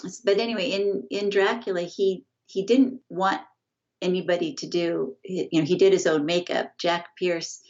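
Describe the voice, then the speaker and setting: A middle-aged woman with a lowish voice talks as a guest on a video call, stopping and restarting her sentences often. The audio is dull and lo-fi. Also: fairly even intonation, darkish tone. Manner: halting, many restarts and fillers. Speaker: guest, family estate representative. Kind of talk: casual interview over video call. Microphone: video call, earbuds.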